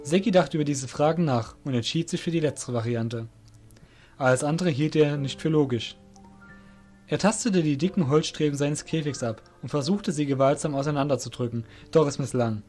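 A man's voice: fairly high pitch, normal speed, darkish tone, faint music behind. A man reading scripted narration for an audio drama as the narrator.

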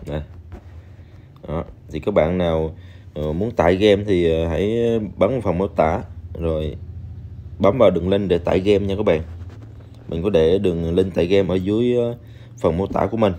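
Male, low warm voice, casual voice-over for a game video, lots of fillers. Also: normal speed, long pauses. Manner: chatty, addresses viewers directly, many fillers. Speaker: game reviewer. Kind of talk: casual screen-recorded game walkthrough. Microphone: voice-over on screen recording.

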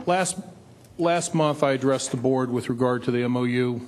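A man's voice: medium pitch, moderate pace, a little mellow, slight background noise. A middle-aged man a little under the weather gives a blunt public comment at a school board meeting, restarting phrases as he presses his point, speaking into a stand mic in a large meeting chamber. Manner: direct, admonishing, with frequent restarts. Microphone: desk stand mic in front of seated speaker.

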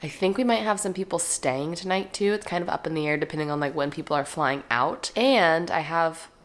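A young woman, chatting casually to camera at home while stuffy and a little raspy. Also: deep voice, brisk. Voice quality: slightly raspy. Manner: chatty and upbeat, trailing into soft asides. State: stuffy, congested. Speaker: lifestyle vlogger. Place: living room and kitchen at home. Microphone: camera at close range.

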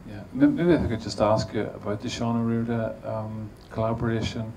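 A man's voice: on the low side, little pitch movement, fairly quick, mellow, slight background noise, rough recording. A middle-aged man chairing a panel on a cinema stage, asking long questions full of restarts. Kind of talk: interviewing a guest on stage. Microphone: handheld mic.

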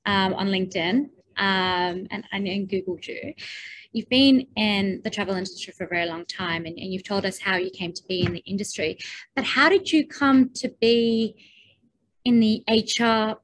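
A woman's voice: mid-range voice, poor audio. A woman in her thirties hosting an interview in an effusive, upbeat way over a video call. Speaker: interview host. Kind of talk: upbeat scripted introduction and interview.

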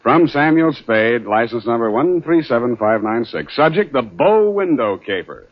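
A man's voice: mid-range voice, big pitch swings, normal speed, lightly acted. A glib, sardonic hard-boiled detective played by a male actor in an old radio show. His delivery swings up and down with wisecracking confidence, on a dull vintage recording. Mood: dry, businesslike. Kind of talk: 1940s radio detective acting.

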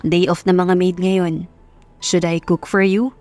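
Woman voicing a soft, low-pitched gentle young husband. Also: a little slow, faint music behind, close to an everyday voice. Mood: tender. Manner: calm, soft, hushed delivery. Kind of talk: Tagalog romance audio drama acting.

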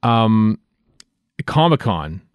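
A man's voice: fairly low pitch, very animated, very slow, clear, resonant tone, dry recording, lo-fi sound. Man in casual podcast chat, close dry sound. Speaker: podcast co-host.

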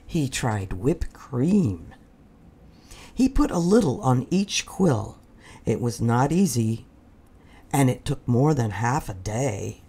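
A woman narrates a children's picture book with theatrical pauses. Her voice is low and creaky at times, very unhurried, with wide expressive swings. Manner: deliberate, dramatic pauses for children. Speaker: storybook reader.

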